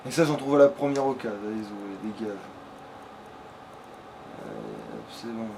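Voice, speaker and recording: A man's voice: fairly low pitch, huge pitch swings, moderate pace, mellow. A man giving casual, slangy, sweary let's play commentary while chatting with a partner. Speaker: let's player. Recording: clearly noisy home recording.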